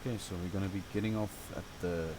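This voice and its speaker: Low-voiced afrikaans guy, south african accent, chill rambly game commentary, ac hum behind. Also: fast. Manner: relaxed, rambling and chatty, with fillers and light grumbling. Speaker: gaming channel host. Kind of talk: casual gameplay tutorial commentary.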